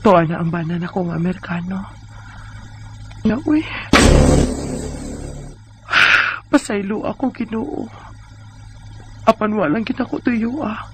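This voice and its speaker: A woman's voice: medium pitch, moderate pace, pauses often, close to an everyday voice. Weary Filipina wife, noisy recording, acted by a woman. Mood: weary, troubled. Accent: Filipino, Bisaya. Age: 30s. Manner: plaintive, sighing. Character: weary, discontented Filipina wife of about thirty. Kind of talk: Bisaya radio drama acting and letter narration.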